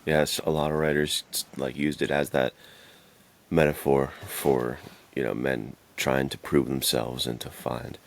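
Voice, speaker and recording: A man with a strikingly deep voice talks in a casual podcast style. His tone has a clear gravelly creak. He reflects out loud, informally working through his thoughts as he speaks. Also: flat delivery, a little slow. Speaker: podcast co-host.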